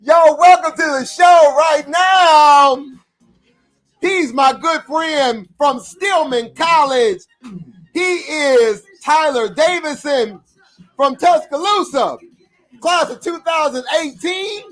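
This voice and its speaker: A man with a strikingly high voice hosts an online alumni show. He chats casually between guests and shouts with excitement when he brings someone on. He speaks over a video call. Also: slow, soft background music. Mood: hyped. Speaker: show host. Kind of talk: hype introduction and casual interview chat.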